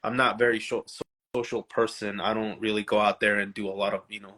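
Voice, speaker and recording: Shy, halting man with a low voice. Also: level tone, pushed and bright. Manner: shy, halting, many restarts. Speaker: musician, livestream guest. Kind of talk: casual livestream chat. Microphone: video call.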